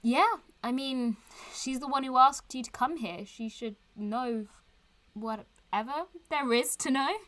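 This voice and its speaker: A woman's voice: sits high, a little slow, a bit bright. A woman playing a cheeky, strong-minded young woman in an audio drama, talking casually and teasingly like someone making a vlog. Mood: teasing, agreeable. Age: young adult. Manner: chatty, teasing, informal.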